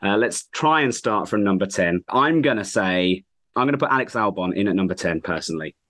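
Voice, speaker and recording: A man hosting a podcast in casual chat, friendly and quick to laugh, with a croaky voice. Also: on the low side, lively intonation, a bit bright. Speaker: podcast host.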